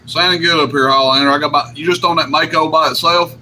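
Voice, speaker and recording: Man, slightly high pitch, teasing CB banter, noisy transmission. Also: steady tone, quick pace. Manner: teasing, colloquial. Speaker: CB radio operator. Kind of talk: casual chat, bantering. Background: radio noise.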